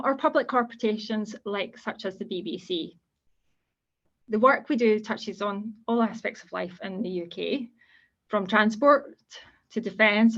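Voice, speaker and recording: Woman, Scottish accent, guest speaker, careers talk over a video call, informal explainer, dull lo-fi audio. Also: medium pitch. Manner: explanatory, steady, informal asides. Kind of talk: online careers presentation.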